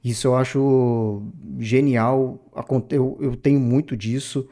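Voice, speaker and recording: A man's voice: medium pitch, fairly even intonation, slow pace, mellow. A man in his thirties from Brazil, talking in a casual, reflective monologue to his viewers, rambling and correcting himself as he goes. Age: 30s. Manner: rambling, self-correcting, addresses viewers directly. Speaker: opinion channel host.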